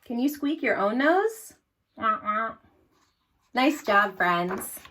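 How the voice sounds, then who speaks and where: A woman hosting story time for young children, speaking in an animated, encouraging way straight to her little listeners. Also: on the high side, takes it slowly, a little hiss or hum. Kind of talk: reading and singing aloud to young children.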